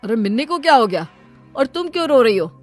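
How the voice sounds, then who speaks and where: Woman acting a middle-aged cartoon dad, exasperated, brisk, scolding, practical. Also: fairly high pitch, very animated, soft background music. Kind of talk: Hindi cartoon moral story acting.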